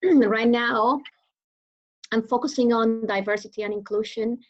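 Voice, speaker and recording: Woman from Venezuela, Spanish-accented English, slightly high voice, halting interview answers with lots of fillers, muffled audio. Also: fairly even intonation. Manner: hesitant, many fillers and restarts. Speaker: guest speaker.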